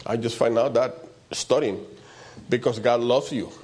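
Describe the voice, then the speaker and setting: A man with a loud voice and preaches in an informal, conversational way, repeating short phrases for emphasis. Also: mid-range voice, fairly even intonation, unhurried. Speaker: preacher leading a church service.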